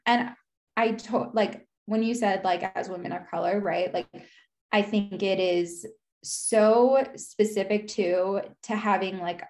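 Young woman, podcast guest, candid, casual chat, filler-heavy, reflective, mid pitch. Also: darkish tone. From United States. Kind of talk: candid conversational interview.

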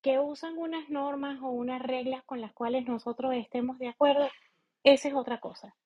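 A woman with a Venezuelan accent, giving a reflective informal monologue as a podcast host in a halting, deliberate manner. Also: high voice, fairly even intonation. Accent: Venezuelan Spanish. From eastern Venezuela. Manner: halting, deliberate, thinking aloud. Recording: slightly dull, lo-fi sound.

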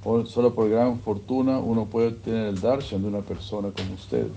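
A man giving a sermon, retelling a scripture story in a slow, measured way. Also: on the low side, steady tone, dark tone, slight background noise. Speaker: religious speaker.

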